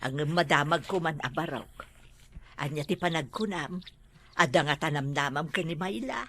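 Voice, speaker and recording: A woman's voice: low-pitched, normal speed, a bit bright. A woman playing a village mother who lectures her son with advice. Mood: advising.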